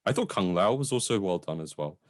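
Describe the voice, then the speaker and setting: Guy with really lively up and down pitch, blunt casual review talk, close dry audio. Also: brisk, no room sound. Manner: blunt, informal, swearing. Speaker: film reviewer on a two-person show.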